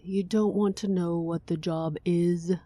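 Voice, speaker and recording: A woman playing a cool, imperious, businesslike client in an audio drama, haughty and deadpan, with a breathy, husky voice. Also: on the low side, unhurried, dry recording. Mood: cool, curt.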